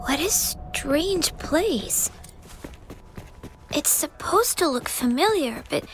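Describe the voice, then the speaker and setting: A woman's voice: high-pitched, slight background noise. A woman playing an earnest, hesitant little orphan girl with fire powers in a video game. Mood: puzzled, curious. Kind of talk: scripted video game voice acting.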